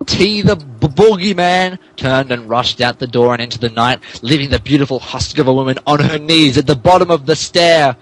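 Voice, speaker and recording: A man narrates fan fiction as a comic dramatic reading. His medium-pitched voice is theatrical, and he trips over the words as he reads. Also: moderate pace, few pauses, low-quality recording. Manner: theatrical, stumbling over misspelled text. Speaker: podcast host reading as narrator.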